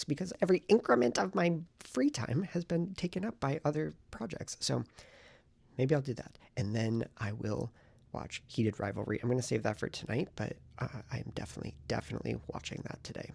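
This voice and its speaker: A man with a deeply gravelly, fry-heavy voice that sounds husky and rough at the edges. He talks to the camera in a casual diary-style vlog, chatty and excitable, often trailing off into soft, whispery asides. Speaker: writing vlogger.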